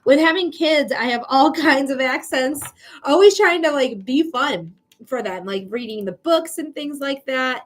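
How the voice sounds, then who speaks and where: A woman's voice: sits high, expressive, unhurried. A bubbly, chatty woman with a Michigan accent hosting a live psychic reading stream, laughing often as she talks to viewers. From Michigan, United States. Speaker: psychic reader hosting a livestream. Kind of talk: casual livestream chat.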